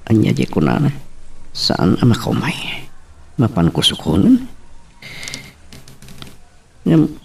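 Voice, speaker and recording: An actor playing a brooding, scheming suitor in an Ilocano radio drama, gravelly and husky, muttering with an Ilocano accent. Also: male voice, deep voice, full character voice. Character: brooding scheming suitor set on marrying his sweetheart.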